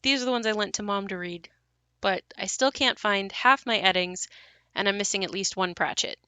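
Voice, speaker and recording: Actress as wistful haunted daughter in a radio play, bright voice, super dry close sound, plainspoken. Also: female voice, mid-range voice, normal speed, dry recording, natural voice. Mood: uneasy, searching. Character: wistful, haunted grown daughter revisiting her ruined childhood home. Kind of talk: naturalistic audio play acting with reflective monologue.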